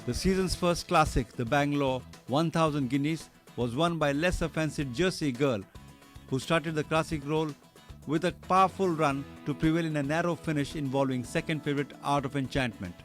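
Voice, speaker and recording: A man narrates a racing report in a fairly high voice, formal and measured. Music plays faintly beneath him. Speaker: programme narrator. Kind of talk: scripted narration.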